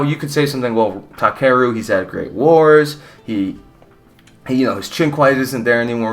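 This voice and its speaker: A man's voice: mid-range voice, fairly bright tone. A young man from Mexico giving a blunt, rambling, opinionated fight recap with casual swearing. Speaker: combat sports YouTuber.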